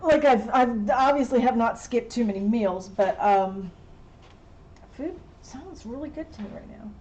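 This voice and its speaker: Chatty, high-voiced woman painting teacher. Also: measured pace, darkish tone, low-quality recording. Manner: chatty, laughing, rambling. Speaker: painting instructor. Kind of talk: casual chat while painting live. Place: indoor painting studio.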